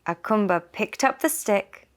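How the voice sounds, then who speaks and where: A woman storyteller narrating a scripted children's tale in a simple, deliberate manner for small children. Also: medium pitch, animated. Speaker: puppet show storyteller.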